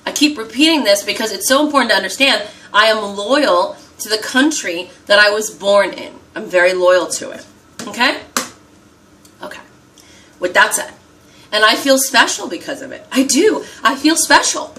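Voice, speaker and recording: An American woman chats to her camera as she does her makeup, pressing her points and repeating them over and over. Her voice is bright and a little tense. She sits somewhat back from the mic. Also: mid-range voice, expressive, moderate pace, far from the mic, a little hiss or hum. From United States. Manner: emphatic, repeats herself, keeps asking "okay?"; talking while doing her makeup. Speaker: vlogger. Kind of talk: casual talk to camera.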